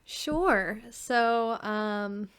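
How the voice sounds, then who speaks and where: A woman's voice: mid-range voice, very slow, fairly bright tone, clear, resonant tone. A woman co-hosting a podcast remotely, speaking in a casual, chatty style. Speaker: podcast co-host. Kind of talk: casual chat. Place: remote recording from a separate location.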